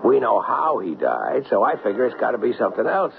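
A man's voice: medium pitch, huge pitch swings, brisk, gravelly, faint music behind. A man playing an impulsive, defiant cop on the run in a 1970s radio drama, talking urgently and insistently. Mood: grimly determined. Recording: dull, faintly noisy 1970s radio recording.

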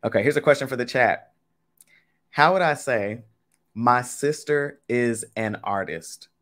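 A man's voice: mid-range voice, lively intonation, measured pace, a bit bright. A young man teaching a live online Spanish lesson, chatty and casual, talking straight to his viewers from a home webcam. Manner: casual, chatty, talks to chat with y'all. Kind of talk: live-streamed game lesson.

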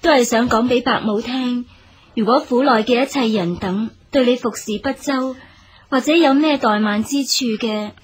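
A gracious official's wife in a Hong Kong Cantonese radio drama. Her voice is a little high with a husky grain, and her delivery is refined, polite and considerate. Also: moderate pace.

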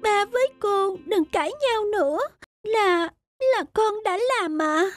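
A woman voicing a gentle, timid, dutiful little girl in a children's cartoon, polite and meek. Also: very high voice, animated, slow, dry recording, exaggerated voice. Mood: apologetic. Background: children's background music.

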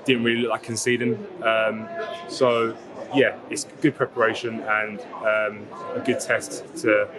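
A young footballer, the team's vice captain, giving relaxed post-match answers outdoors at a football ground on a lapel mic. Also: male voice, on the low side, a little slow, background noise. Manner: relaxed, fluent, filler-heavy answers.

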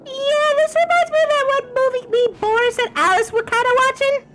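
A woman's voice: very high voice, steady tone, fairly quick, fairly bright tone, soft background music. A woman voicing a feisty, cheeky cartoon ink devil in exclamatory, muttering game commentary. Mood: uneasy, playful. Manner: exclamatory, muttering, repeats words. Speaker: gameplay host.

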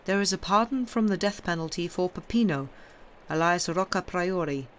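A man voicing the solemn head of a religious order in an audiobook, making loud, clear proclamations. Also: high voice, moderate pace, slight background noise. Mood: official.

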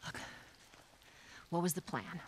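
A tough, level-headed woman smuggler, voiced by a woman, calm and persuasive. She is heard as video game dialogue with faint noise behind her. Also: slow, close to an everyday voice. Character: tough adult female smuggler, steady and persuasive. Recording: game audio under a noisy let's play.

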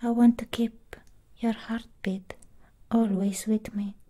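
A woman plays a clingy robot doll nurse in an ASMR roleplay, whispering sweetly and very slowly. The voice is mellow and doting, with soft, halting phrases close to the mic. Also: fairly high pitch, steady tone. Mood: tender, possessive.

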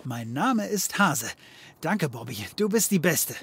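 An actor playing a flippant, sarcastic private detective in a comedy audio drama, joking and theatrical. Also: male voice, a little high, sing-song, unhurried, breathy edge, full character voice. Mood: playful.

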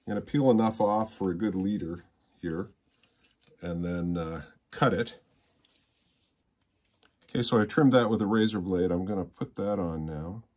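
Husky low-voiced older man. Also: normal speed, long pauses, a little mellow. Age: 60s. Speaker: musician and presenter. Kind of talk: casual explanatory vlog. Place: small rooms in a home.

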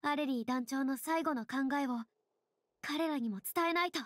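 An actress playing a solemn, thoughtful young woman in a video game, speaking in a measured, dramatic and slightly lofty way. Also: sits high, a little hiss or hum, close to an everyday voice. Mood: tender, resolved. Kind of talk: Japanese video game voice acting.

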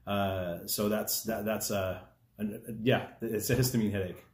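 A man's voice: fairly low pitch, steady tone, measured pace. Guy in his 40s explaining wine stuff, lots of restarts, echoey room, phone across the table. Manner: friendly, explains step by step with frequent restarts. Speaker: winemaker answering viewer questions. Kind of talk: casual explanatory chat. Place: dining room at a table, indoors.

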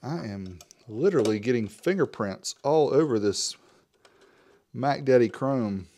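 Host type: man, forties, easygoing unboxing patter, mid-pitched, jokes to himself. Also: background noise. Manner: chatty and joking, talks to himself. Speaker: host reviewing car audio gear. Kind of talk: casual product unboxing and review.

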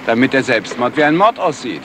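A man's voice: mid-range voice, very animated, fairly quick, lightly acted. Man acting a cocky police sergeant in an old radio crime play, lecturing, swoopy delivery, bit of noise and outdoor boat sound. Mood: confident. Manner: emphatic, lecturing. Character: self-assured, pedantic police detective sergeant. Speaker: actor.

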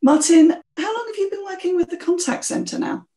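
A woman's voice: very high voice, a little fast, mellow. Woman hosting an interview podcast. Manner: friendly and encouraging, asks clear questions. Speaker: podcast host.

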